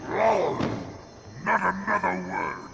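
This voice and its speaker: Gravelly, menacing alien brute warlord. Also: male voice, high voice, takes it slowly, music in the background, heavily acted. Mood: furious, triumphant. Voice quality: gravelly growl. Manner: threatening, domineering. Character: brutish alien warlord, menacing, arrogant zealot. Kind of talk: video game cutscene acting.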